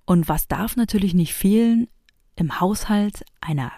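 A woman's voice: mid-range voice, unhurried, dark tone. A middle-aged woman, hosting a homemade audio piece and casually telling personal stories. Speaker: host of a private homemade audio play.

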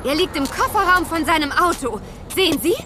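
A woman's voice: very high voice, animated, fairly quick, noisy background. An actress playing an honest, sensible girl who speaks frankly in a children's audio drama. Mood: insistent. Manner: earnest, frank.

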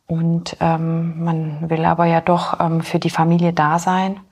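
Lowish husky woman, 40s, kinda halting, lots of ums. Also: completely flat delivery, a little mellow. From born in Poland, grew up in Germany. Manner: hesitant, many filler pauses. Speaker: guest. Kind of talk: personal interview talk.

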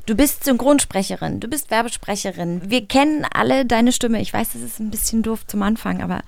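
A woman co-hosting a podcast, chatting in a lively, sometimes hesitant way. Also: a little high, fairly quick, gravelly, no echo. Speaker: podcast co-host. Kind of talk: casual interview.

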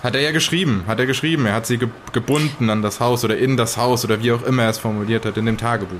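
A man playing a shaken, sardonic survivor of occult horrors, retelling events in character in halting, broken sentences for an improvised audio drama. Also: medium pitch, slight background noise, close to an everyday voice. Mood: matter-of-fact.

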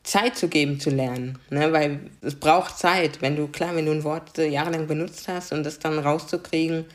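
A woman's voice: deep voice, fairly even intonation, normal speed. A young adult woman from Karlsruhe, a diversity coach, explaining things earnestly in a conversational interview and often adding a questioning "ne?". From Germany, Karlsruhe. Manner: earnest, explanatory, frequent "ne?" tags.

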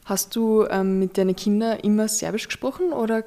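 A young woman, interviewing in a relaxed, friendly way and laughing along easily. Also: mid-range voice. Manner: friendly, quick to laugh and agree. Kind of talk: casual interview chat.

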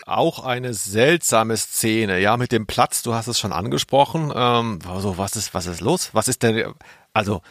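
A man in his thirties chatting casually and humorously as a podcast co-host, in a halting, amused way. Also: lowish voice, a bit bright, no room sound, clean studio sound. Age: 30s.

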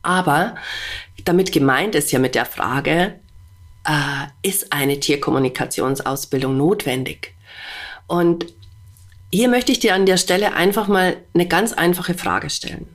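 Congested woman, slow earnest talk. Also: low voice. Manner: earnest, addresses listener directly with rhetorical questions. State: stuffy nose, slightly congested voice. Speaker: podcast host; animal communicator. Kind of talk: spontaneous monologue to listener.

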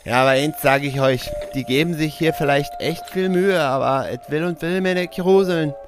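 A man's voice: fairly high pitch, moderate pace, natural voice. A man playing a naive, self-pitying young miller's son in a fairy-tale audio drama, rambling in colloquial dialect grammar. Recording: music under the voice. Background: music.

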